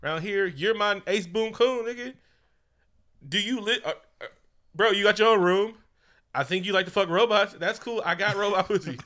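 A man's voice: high voice, moderate pace, bright, forceful tone, pitched above the performer's own voice. A man putting on a slick, crude crime boss. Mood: boastful coaxing. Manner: coaxing, profane, slangy bragging. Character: smooth-talking male crime boss, boastful and crude.

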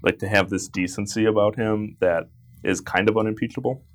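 A man with a very low voice reflects on a film's characters in casual conversation. He builds his points slowly, adding "like" and asking "right?" as he goes. The tone is easygoing podcast talk. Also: steady tone. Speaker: podcast co-host. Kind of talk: casual film discussion.

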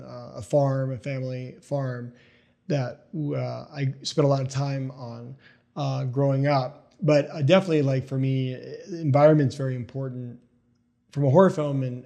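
A man's voice: mid-range voice, dark tone. A middle-aged man from East Tennessee, a filmmaker chatting in an interview, rambling with many fillers and restarts. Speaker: filmmaker guest. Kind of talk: casual interview chat.

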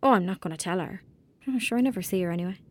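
A woman's voice: mid-range voice, racing, a little mellow, natural voice. Earnest young Irish girl, played by a woman. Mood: protective, bitter. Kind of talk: naturalistic audio drama acting.

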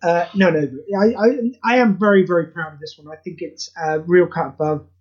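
High-voiced man, candid and self-mocking. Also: fairly quick, highs cut off, a crowd in the background. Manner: candid and self-deprecating, swears freely. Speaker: guest, writer and producer of a charity audio play. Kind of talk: casual podcast interview.

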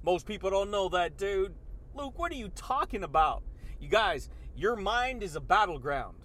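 A man's voice: high-pitched, sing-song, normal speed, fairly bright tone. A man hosting a motivational podcast, talking in a hyped, slangy way and calling the listener dude and baby. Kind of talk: energetic motivational monologue.

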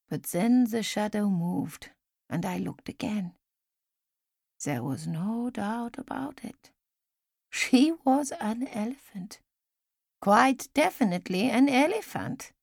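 A woman voicing a frail elderly woman who speaks formally and with gentle insistence, in an audiobook story. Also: on the high side, lively intonation, gravelly, no room sound, studio quality, heavily acted. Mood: reminiscing. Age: older. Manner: measured, formal, gently insistent speech with few contractions.

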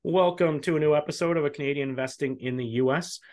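A man's voice: a little high, quick pace, a little mellow, soft background music. A man hosting a podcast, asking questions in a friendly, rambling way with many fillers. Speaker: podcast host. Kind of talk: casual interview hosting.

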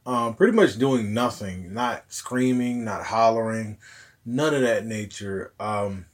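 A man with a hoarse, recovering voice hosting a casual solo podcast, rambling along in a laid-back way. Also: lowish voice, unhurried. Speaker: podcast host.

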